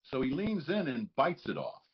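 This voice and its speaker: A man's voice: medium pitch, wildly swooping pitch, normal speed. A man reading stage directions as scripted narration in a table read. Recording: dull lo-fi audio.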